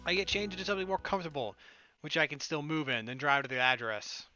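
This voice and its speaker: A man's voice: fairly high pitch, quick pace, sharp tone, highs cut off. Informal male gamer narrating over music. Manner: informal, performs lines as he reads. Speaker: gaming video host. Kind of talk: reading a visual novel aloud, narration and dialogue. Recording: game music playing behind the voice.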